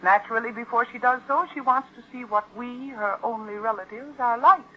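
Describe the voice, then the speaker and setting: Woman acting a high-voiced, sardonic scheming actress. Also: brisk, lightly acted. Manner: arch, mocking, theatrical endearments. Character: sardonic, scheming, glamorous young stage actress sister. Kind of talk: 1940s radio acting. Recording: muffled vintage radio drama recording.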